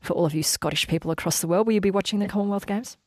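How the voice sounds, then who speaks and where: A woman's voice: mid-range voice, rapid-fire, darkish tone, no room sound, studio quality. A woman hosting a live radio show, upbeat and bantering with her guest and producer. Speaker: radio host. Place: radio studio. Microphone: studio mic, dry.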